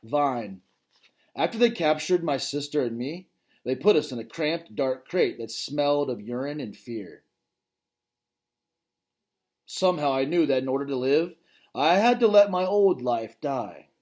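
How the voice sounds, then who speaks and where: A man, a father, reading a children's novel aloud and pausing to chat with his listeners about the story. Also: medium pitch. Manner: expressive reading, breaks off to comment directly to listeners.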